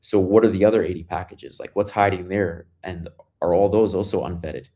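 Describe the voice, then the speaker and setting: A man's voice: low-pitched, fairly even intonation, fairly quick, mellow. A man from Brooklyn with a New York accent, a startup founder telling his story in a casual interview, loose and full of fillers and restarts.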